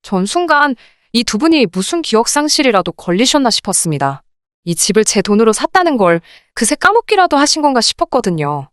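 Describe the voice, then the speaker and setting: A woman reading a scripted first-person story in an expressive, indignant radio drama style. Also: on the high side, fairly quick, dry recording, studio quality. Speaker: narrator of dramatized listener stories.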